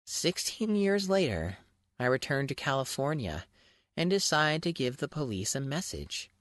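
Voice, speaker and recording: Male audiobook narrator, theatrical and mock-grand, highly animated intonation, dry recording. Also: moderate pace, dry recording. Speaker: fan fiction audiobook narrator. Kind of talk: dramatic scripted first-person narration.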